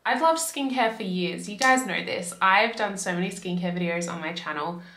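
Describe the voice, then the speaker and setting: Young aussie woman vlogging, bit raspy from a cold, chatty, lots of "like", bright tone, roomy echo. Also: mid-range voice, moderate pace, slight background noise. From Australia. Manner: chatty, upbeat, fillers like "like". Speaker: beauty vlogger. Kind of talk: casual talk to camera. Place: bedroom by a window.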